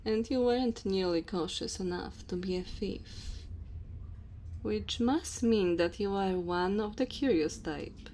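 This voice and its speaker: A woman voicing a dominant, teasing female dragon in an ASMR roleplay, speaking slowly and theatrically to the listener. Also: mid-range voice, dark tone, close to an everyday voice, low-quality recording. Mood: curious, amused. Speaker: ASMR voice performer. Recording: faint cave ambience noise. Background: cave and fire sound effects.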